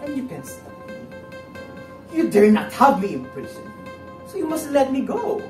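A man's voice: extremely high pitch, sing-song, normal speed, a little mellow. A young man playing a smug, scornful blackmailer in a stage play, mocking and dramatic. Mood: sly, defiant. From Philippines. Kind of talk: theatrical stage acting of a classic play. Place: indoor living-room stage set. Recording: roomy, distant microphone, music behind.

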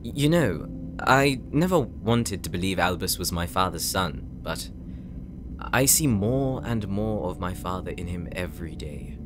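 An actor playing an earnest, insecure young knight in an audio roleplay, speaking gently and hesitantly, as if confiding in his sister. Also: low voice, animated, unhurried, background noise. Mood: troubled. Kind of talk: audio roleplay drama, intimate monologue.

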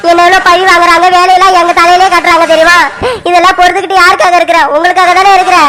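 A woman's voice: extremely high pitch, monotone, rapid-fire. A woman playing an aggrieved young wife who pleads and reproaches her husband in a family skit.

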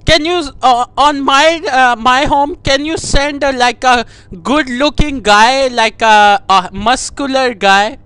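A man making a comedic prank phone call, talking in a halting, coy, over-affectionate way. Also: extremely high pitch, bright, forceful tone, smooth, no echo. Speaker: prank caller.

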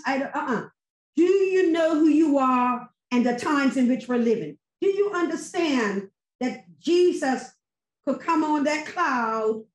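A woman's voice: high voice, darkish tone. Fervent woman preaching on video call. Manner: fervent, preacherly, emphatic rhetorical questions. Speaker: church member giving sermon response. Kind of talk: impassioned post-sermon testimony. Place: home room with virtual background. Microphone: laptop webcam on video call. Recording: echoey video call audio.

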